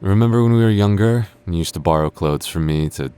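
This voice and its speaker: Male actor as sarcastic childhood friend, young adult, low-pitched voice, stubborn teasing, natural boyfriend-roleplay delivery. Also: fast talker, warm tone, clean tone, close to an everyday voice. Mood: teasing, softer. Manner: teasing, sarcastic, stammers when flustered.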